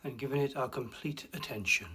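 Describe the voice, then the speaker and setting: Man, meditation guide, soft soothing delivery, long pauses, gentle breathy hush. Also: medium pitch, heavy background noise.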